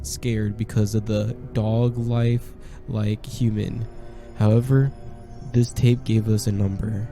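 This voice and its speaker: A man's voice: fairly low pitch, mellow. A man giving casual voice-over commentary on a horror game, talking in a plain, conversational and slightly hesitant way. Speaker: gameplay commentator.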